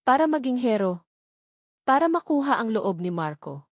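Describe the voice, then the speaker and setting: A woman's voice: fairly high pitch, big pitch swings, normal speed. A spiteful, suspicious woman from an audio melodrama, acted by a woman narrator. Her words are sneering and sarcastic. The sound is dry, with no echo. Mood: scornful.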